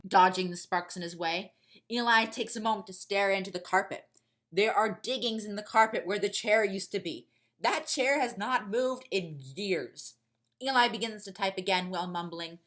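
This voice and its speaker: A woman's voice: mid-range voice, a bit bright. A woman reading scripted fiction as the narrator of a story podcast.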